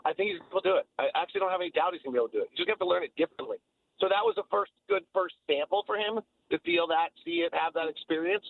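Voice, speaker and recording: High-pitched, gravelly man talking sports on radio. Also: phone-quality sound. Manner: emphatic, opinionated, repeats phrases for emphasis. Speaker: basketball analyst and guest. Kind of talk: opinionated sports talk radio conversation. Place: radio talk show.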